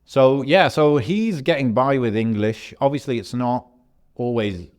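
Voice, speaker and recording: A man from Algeria, a podcast co-host, telling a travel story in a relaxed, rambling way with slang and fillers. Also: medium pitch, measured pace, clear, resonant tone. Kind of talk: casual podcast chat.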